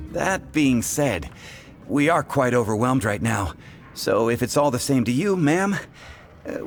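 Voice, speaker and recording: A man's voice: mid-range voice, lightly acted. A man playing a busy, affable tavern keeper in a fantasy video game, with a courteous, chatty way of talking. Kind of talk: scripted video game acting. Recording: game music behind the voice. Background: music.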